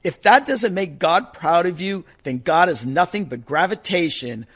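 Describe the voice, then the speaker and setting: A man playing an earnest, philosophical dead townsman, reciting free verse for a radio play in a deliberate, declamatory manner. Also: high-pitched, expressive, a bit bright, slightly rough, exaggerated voice. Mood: fervent, defiant. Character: earnest, philosophical dead small-town man reflecting on life.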